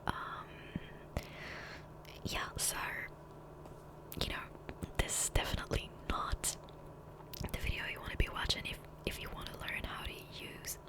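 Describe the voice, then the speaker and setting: A woman speaking in a soft, breathy whisper close to the microphone, rambling casually and self-deprecatingly as an ASMR gaming creator. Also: rough recording. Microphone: close USB condenser microphone.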